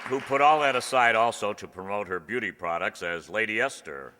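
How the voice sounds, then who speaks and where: A man's voice: on the low side, fairly quick, crowd noise. A man working as an announcer, giving live thanks to a cast and crew in front of an audience. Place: indoor hall before an audience. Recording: echoey hall, noisy, audience sounds.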